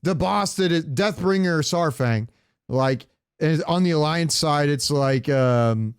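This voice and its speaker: Emphatic man, slightly high voice, dry sound. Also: takes it slowly, clear, resonant tone, no room sound. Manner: emphatic, informal, argumentative. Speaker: podcast co-host. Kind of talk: casual gaming chat.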